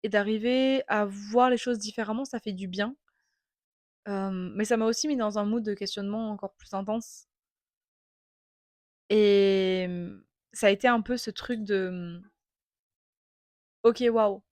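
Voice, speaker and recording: A woman in her twenties with an accent from France, talking candidly into a small clip-on mic and often pausing to hesitate. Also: mid-range voice, steady tone, darkish tone, no echo. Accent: French from France. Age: 20s. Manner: reflective, candid, many hesitations. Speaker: vlogger. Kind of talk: casual personal monologue.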